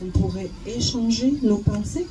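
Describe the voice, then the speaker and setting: A woman's voice: medium pitch, moderate pace, over music, rough recording. A woman working as a live interpreter, hesitant, often repeating words as she searches for the phrasing. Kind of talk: consecutive interpreting. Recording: clear steady background noise.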